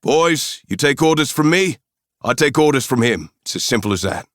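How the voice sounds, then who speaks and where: A man voicing a gruff, blunt South African mercenary leader in his late forties, with a South African accent and a gravelly voice. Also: fairly low pitch, normal speed, no room sound, clean studio sound, voice pushed up, lightly acted. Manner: blunt, surly.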